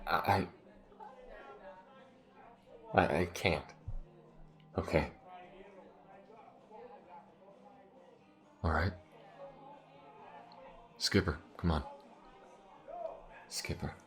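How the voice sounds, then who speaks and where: A man playing a loyal, grieving spaceship smuggler in an audio roleplay, with a halting, earnest, emotional delivery. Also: low-pitched, fairly even intonation, gravelly, fairly bright tone, heavily acted. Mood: distraught, pleading. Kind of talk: dramatic audio roleplay acting.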